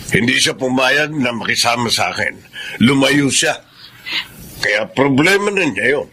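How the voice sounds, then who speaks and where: A cold middle-aged Filipino father in a radio drama, with a hard, bright edge to his voice. He is curt and dismissive, over slight background noise. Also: mid-range voice, expressive, measured pace. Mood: cold, irritated. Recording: faint noise behind voice.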